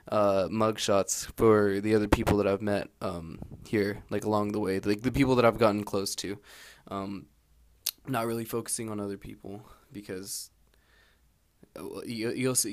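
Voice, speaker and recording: Rambling young man, low easygoing voice. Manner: meandering, full of fillers and restarts; laid-back and candid. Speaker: exchange student keeping an audio diary. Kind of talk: rambling personal audio log. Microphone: handheld recorder held close.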